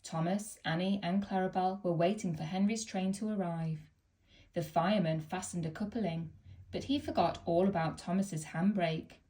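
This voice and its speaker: A woman reading a children's picture book aloud in a gentle, expressive storytelling manner. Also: lowish voice, normal speed.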